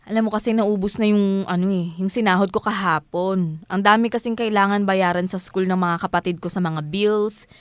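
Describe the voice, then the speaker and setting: A woman's voice: mid-range voice, fairly even intonation, moderate pace, smooth, no echo, natural voice. A woman playing a patient, overworked breadwinner in her thirties in a colloquial Filipino audio drama. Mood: grateful, apologetic. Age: 30s. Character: overworked, self-sacrificing breadwinner daughter, thirty-three, patient but weary. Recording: music under the voice.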